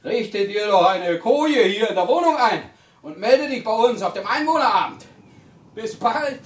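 High-voiced, blustering lout, echoey. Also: male voice, lively intonation, moderate pace. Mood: mock-threatening. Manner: blustering, mock-formal, swaggering. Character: rowdy, boastful, quarrelsome drunken lout of a neighbour. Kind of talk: German radio play acting. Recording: echoey room, distant from microphone.

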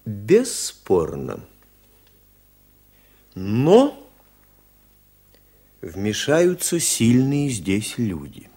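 An actor playing a courteous, dignified male lawyer in a radio drama, measured and theatrical with weighty pauses. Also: lowish voice, wildly swooping pitch, mellow, husky, full character voice. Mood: grave, resolute. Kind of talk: 1980s Soviet radio drama acting.

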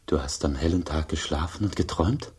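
An actor playing a revered, pious old monk in a German radio play, solemn and measured, with a deep gravelly, breathy voice. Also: male voice, a little mellow, full character voice. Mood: grave, humble. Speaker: actor and first-person narrator.

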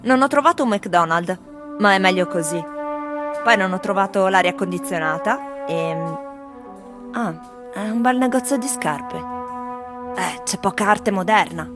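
An actress playing wry, plaintive tourists in a theatrical radio reading. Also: female voice, mid-range voice, unhurried, long pauses, lightly acted. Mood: disappointed. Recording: music behind the voice. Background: music.

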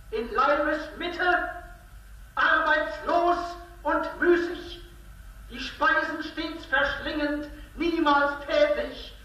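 A high, declaiming verse reciter. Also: male voice, sharp tone, exaggerated voice. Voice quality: measured, ceremonious declamation. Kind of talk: 1970s radio drama. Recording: roomy sound from some distance.

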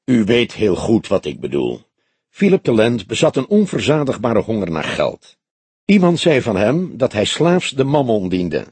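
A man voicing a grey-haired veteran police detective in an audiobook, old-fashioned, formal and deliberate, with a dry, probing way. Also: fairly low pitch, wildly swooping pitch, darkish tone, breathy edge, no room sound, exaggerated voice. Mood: probing, pointed. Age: older.

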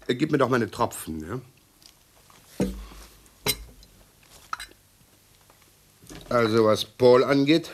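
A man's voice: mid-range voice, lightly acted. An actor playing a pedantic, self-satisfied middle-aged policeman husband in a radio play, fussy and blustering, repeating himself. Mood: smug. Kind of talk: 1960s German radio play acting.

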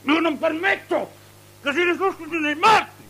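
An actor playing a vain, uneasy, imperious ruler in avant-garde radio drama, halting and repeating himself. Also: male voice, extremely high pitch, creaky, bright, forceful tone, full character voice. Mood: agitated, imperious. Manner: hesitant, repetitive, halting, exclaiming.

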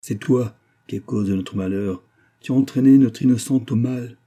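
A man's voice: deep voice, little pitch movement, normal speed, warm tone, breathy edge. A man voicing a haughty, bitter mother, imperious and vehement, in a storybook reading. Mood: outraged. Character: haughty, angry matron, bitter grieving mother.

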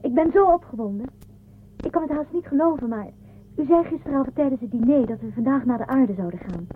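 A spirited, forthright female starship traveller in a radio play. Her voice is high. The recording is narrow and phone-like, with faint noise. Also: animated, fast talker. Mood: excited, wondering. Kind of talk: radio drama acting.

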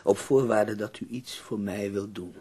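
A man acting in a Dutch radio play as an ailing, nearly blind poet, husky and gravelly, formal, tender and wry. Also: fairly low pitch, unhurried, mellow. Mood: courteous, wry.

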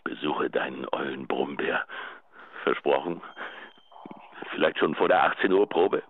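A man's voice: very deep voice, measured pace, husky, no echo, exaggerated voice. An actor playing a doting, possessive older man who coaxes and pleads tenderly in a radio drama phone message. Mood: coaxing. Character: doting, possessive older man, self-styled old grumbly bear. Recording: dry, narrow answering machine phone line.

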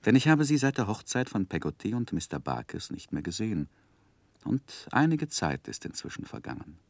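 An actor playing an earnest, reflective young man who narrates his own youth in a measured, literary 1960s German radio drama style. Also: on the low side, very animated, gravelly, a little mellow, no echo, full character voice. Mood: tender. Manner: measured, literary, deliberate phrasing. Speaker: first-person narrator.

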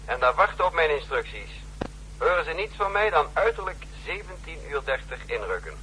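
A man's voice: on the high side, very animated, a little fast, bright, forceful tone, poor audio. A man playing a commanding counter-espionage chief in 1960s radio drama, curt and authoritative. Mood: brisk, commanding. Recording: old radio recording with faint hum.

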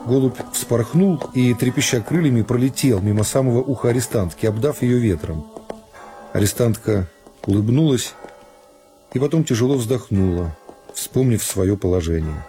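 A man reading a classic novel as a scripted radio narration, in a measured, unhurried storytelling manner. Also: mid-range voice, lively intonation, mellow. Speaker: narrator of a literary radio serial. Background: music.

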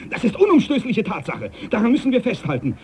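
An actor playing a devout, domineering sea captain in a German radio drama, speaking in an emphatic, preachy manner. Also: male voice, very high voice, huge pitch swings, quick pace, darkish tone, a little hiss or hum, full character voice. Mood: fervent, alarmed. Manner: emphatic, preachy, scripture-quoting.

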